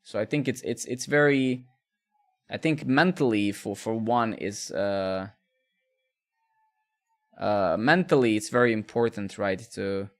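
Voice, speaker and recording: A man's voice: lowish voice, unhurried, long pauses. A man, chatting casually with viewers during a live coding stream, rambling and repeating words. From Serbia.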